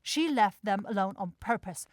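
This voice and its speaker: A woman playing an irritable, sharp-tongued demon shopkeeper in a scripted fantasy ASMR roleplay, blunt and exasperated. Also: mid-range voice, animated, normal speed, dry recording, clean studio sound, close to an everyday voice. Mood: exasperated, bitter. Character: grumpy, sarcastic demon goat-eyed shopkeeper sister, sharp-tongued.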